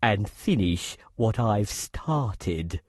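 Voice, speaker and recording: A wise, steady tortoise, speaks in a very deep voice. Every word comes slow and deliberate. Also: female voice, breathy edge, no echo, heavily acted. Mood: calm.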